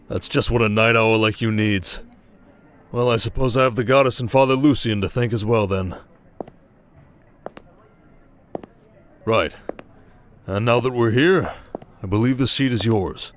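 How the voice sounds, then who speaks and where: A man's voice: fairly low pitch, natural voice. A man playing a confident, protective desert king with a commanding, dryly teasing manner in a fantasy audio roleplay. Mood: teasing, affectionate. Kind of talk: dramatic ASMR roleplay acting.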